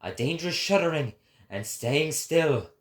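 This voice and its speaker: A man voicing an impassioned prophet preaching to a crowd, declaiming grandly and deliberately in a dramatic philosophical reading. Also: on the high side, lively intonation, pitched above the performer's own voice. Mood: reverent, fervent.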